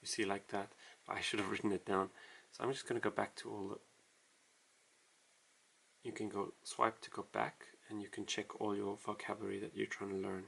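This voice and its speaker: A man casually walking through a writing tutorial, explaining in a halting, self-deprecating way as he writes. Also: lowish voice, level tone, vocal fry, fairly bright tone, husky, poor audio. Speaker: language tutorial host.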